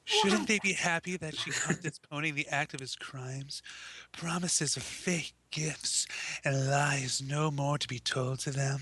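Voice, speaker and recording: A woman's voice: deep voice, animated, a little slow, fairly bright tone. A woman doing a dramatic amateur reading of a fan story for a podcast.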